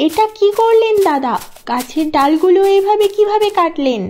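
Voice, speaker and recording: A woman's voice: extremely high pitch, animated, normal speed, mellow, a little hiss or hum. A woman voicing a quarrelsome, easily annoyed village housewife in a cartoon. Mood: indignant. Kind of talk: Bengali cartoon voice acting. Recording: dull audio with music underneath.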